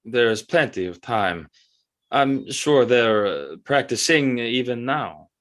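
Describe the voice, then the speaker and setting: A man playing a nervous, obsequious French court choreographer who speaks haltingly in an audio drama. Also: medium pitch, animated, a bit bright. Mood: sly. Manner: halting, stammering, deferential. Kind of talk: stage-style audio drama acting.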